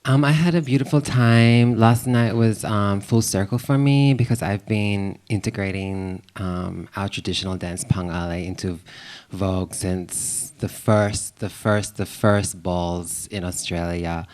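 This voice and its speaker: A man speaking as a guest on a live podcast panel, thoughtful and measured, often slowing down as he reflects. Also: lowish voice, steady tone, a little mellow.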